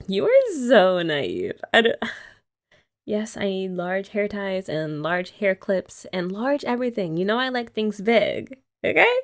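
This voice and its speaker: A woman's voice: mid-range voice, sing-song, slow pace. A young woman chatting playfully and teasingly in a girlfriend roleplay ASMR. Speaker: ASMR creator.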